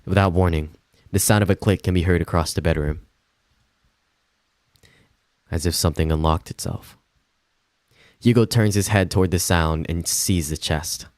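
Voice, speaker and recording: A man's voice: sits low, fairly even intonation, brisk, a little mellow, dry recording. A man streaming a game, reading its narration aloud with informal asides, some swearing and stumbles over long words.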